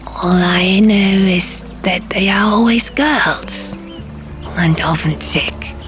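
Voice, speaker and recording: A woman's voice: medium pitch, slow pace, a bit bright. A woman voicing a male stone gargoyle in an audiobook, speaking in a solemn, declamatory and melancholy manner. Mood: gentle, sad. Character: stone gargoyle, male, plain-spoken, sad, theatrically melodramatic. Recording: music behind the voice.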